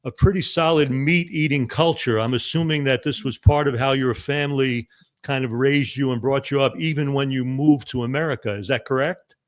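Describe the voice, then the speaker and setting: A man hosts an interview at a medium pitch. He asks long, winding questions and drops in short replies while his guest speaks. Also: normal speed. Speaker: interviewer, host. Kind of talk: conversational interview.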